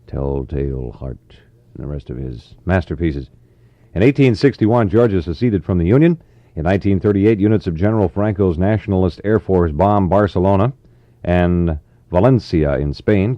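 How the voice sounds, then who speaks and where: A low-pitched American man hosts a 1960s radio show with easygoing patter and folksy asides. The sound is a muffled, roomy AM aircheck from a studio, with his voice carrying some echo.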